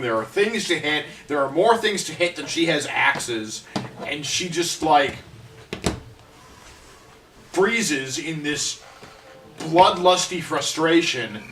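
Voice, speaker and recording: A man's voice: a little high, bright, forceful tone. A man playing a tabletop roleplaying game, hesitantly narrating his character's moves in casual talk. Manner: halting, hesitant. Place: around a table indoors at a home game. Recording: roomy, distant mic, faint noise.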